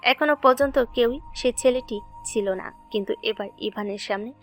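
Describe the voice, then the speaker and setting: A woman's voice: a little high, normal speed, faint music behind. A woman reading scripted story narration as the narrator of an audio drama.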